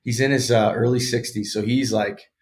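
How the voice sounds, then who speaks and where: A man's voice: mid-range voice, fairly even intonation, normal speed, darkish tone, a little hiss or hum. A man in his thirties, a former pro hockey player, explaining things casually as a podcast guest and hedging his claims. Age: 30s. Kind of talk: casual interview chat.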